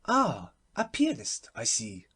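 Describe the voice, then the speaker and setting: A performer voicing a solemn, obsequious little palm-reading man, speaking in a deferential, precise, measured way in a storybook. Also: medium pitch, wildly swooping pitch, a bit bright, slightly rough, exaggerated voice. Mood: solemn, courteous.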